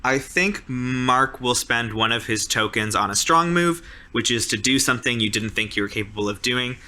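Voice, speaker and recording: A man's voice: mid-range voice, expressive, moderate pace, pushed and bright. A man improvising narration as a player in a tabletop roleplaying game, in a casual, informal way. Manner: informal, peppered with "like" and "sort of".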